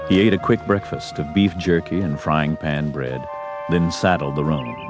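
A man's voice: rumbling low, moderate pace. A man narrating a Western story as a scripted audio drama. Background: music.

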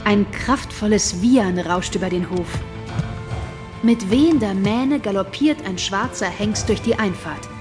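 A woman narrating a radio play in a calm storytelling manner. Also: mid-range voice, a little slow, over music.

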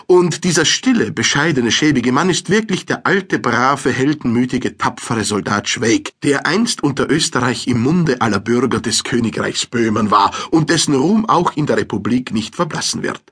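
A man with a husky voice reading literary narration in a measured storytelling manner. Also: medium pitch, expressive, fairly bright tone.

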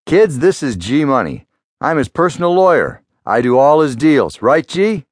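A man narrating a story, voicing a self-important, smooth-talking male lawyer who is a father. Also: a little high, sing-song, normal speed, dry recording, studio quality. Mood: boastful.

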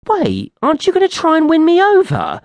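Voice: very high-pitched, huge pitch swings, fairly quick, vocal fry, a little mellow, no room sound, voice pushed up, exaggerated voice. A storyteller voicing a vain, witty male fairy who mocks everyone in a theatrical way. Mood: mocking. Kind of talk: audiobook character voice.

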